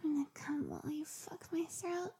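A woman performing an intimate audio roleplay in a breathy, half-whispered voice. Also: high-pitched, wildly swooping pitch, moderate pace, noisy background.

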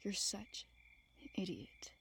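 A woman playing a grumpy, teasing young woman on a camping trip in an intimate audio roleplay, breathy and sarcastic. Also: slow, fairly bright tone, whispered. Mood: annoyed, sarcastic.

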